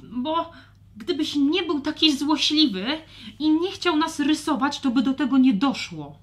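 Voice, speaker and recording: Lady doing a young girl dragon for a kids' audio story, high voice, really expressive. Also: a little slow. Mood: reproachful. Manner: expressive, exclaiming. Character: young female dragon, tearful then earnest peacemaker. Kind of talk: children's audio fairy tale.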